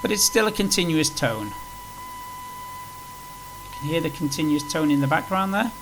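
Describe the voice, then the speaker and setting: Male sim presenter, fairly high-pitched, casual and unscripted, rambling walkthrough delivery over noticeable humming noise. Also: lively intonation, moderate pace. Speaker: flight simulator video presenter. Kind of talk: casual tutorial commentary while playing. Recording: clearly noisy with background hum.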